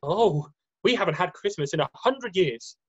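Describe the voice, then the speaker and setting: An amateur performer voicing a gentle, nervous male faun with a hesitant, apologetic manner in a screenplay readthrough. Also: mid-range voice, expressive, moderate pace, husky, dull audio. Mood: melancholy. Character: nervous, guilt-ridden, gentle male faun, kindly host.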